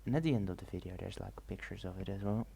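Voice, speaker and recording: Soft-spoken man with a gravelly voice. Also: low voice, rapid-fire. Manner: rambling, hesitant, mixes soft talk with whispers. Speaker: ASMR vlogger. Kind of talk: soft-spoken travel vlog narration. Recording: faint ambient noise.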